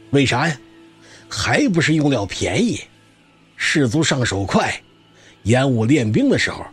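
An actor playing a hearty old wandering spear master in an audio drama, garrulous, folksy and emphatic. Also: male voice, medium pitch, husky.

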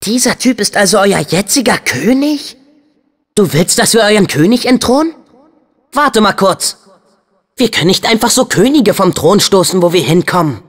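A man playing an upbeat, brave, earnest teenage boy hero in a video game dub, with an eager, expressive, youthful delivery. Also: sits high, normal speed, big-room sound. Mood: curious, casual.

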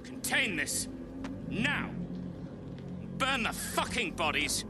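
A man's voice: high-pitched, very animated, takes it slowly, over loud music, full character voice. A man playing a ruthless, unyielding military commander in a video game cutscene, with a hard, commanding delivery. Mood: furious orders. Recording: loud vehicle and ambience noise behind.

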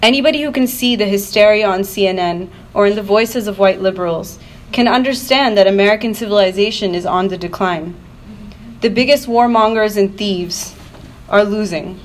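A woman's voice: medium pitch, normal speed. A woman reading a prepared political speech at a live event, with earnest, declarative and emphatic phrasing. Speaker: activist panel speaker. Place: university event, speaking to an audience. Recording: noisy live event room recording.

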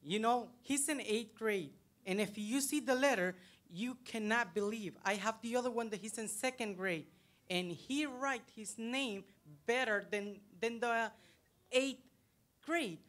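A middle-aged woman from El Salvador with a Salvadoran Spanish accent, a parent speaking in halting English into a handheld mic in a library auditorium. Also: on the high side, a bit bright. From United States, raised in El Salvador. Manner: earnest, halting English with searching pauses. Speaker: parent speaking at a public meeting. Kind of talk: plain-spoken public comment. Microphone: handheld microphone.

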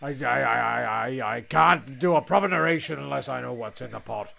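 A man's voice: mid-range voice, brisk, vocal fry, a crowd in the background. A man playing a flustered, exasperated narrator of a comic castaway audio serial, rambling and stumbling over his words.

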